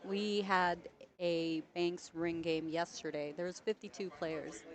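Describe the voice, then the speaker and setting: A woman with a slightly low voice hosts live pool coverage. She talks in a friendly, casual way, explains the rules clearly and laughs easily with her co-commentator. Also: fairly even intonation, measured pace.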